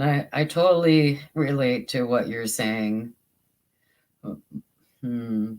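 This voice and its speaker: A livestream host with a soothing voice, chatting casually with viewers while crafting, halting and restarting often. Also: medium pitch. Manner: slow and halting, with many repeated starts.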